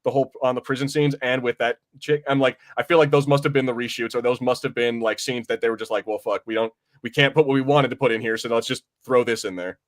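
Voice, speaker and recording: Fast-talking man in casual podcast chat. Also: mid-range voice, steady tone. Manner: rambling, slangy, swears casually. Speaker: podcast co-host. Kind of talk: casual movie review chat.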